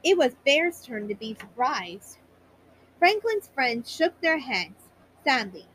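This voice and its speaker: A woman storyteller narrating a children's bedtime story in a high-pitched voice. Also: very animated, slow, a bit bright, a little hiss or hum, poor audio.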